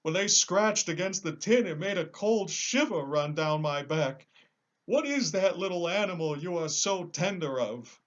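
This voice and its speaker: A big cowardly lion from a storybook, played by a man, pleading in a high, swooping voice. He is plaintive and timid, full of self-pity and theatrical sorrow. The recording is slightly dull. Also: lively intonation, unhurried. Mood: curious, surprised. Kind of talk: storybook character voice.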